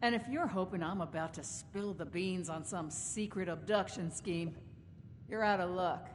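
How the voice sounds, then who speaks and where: An actress playing a proud, shrewd caravan company boss in a video game, with a folksy, sardonic, wordy way of talking. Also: female voice, lowish voice, normal speed, long reverb tail, slight background noise, natural voice. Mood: defiant. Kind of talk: video game character dialogue.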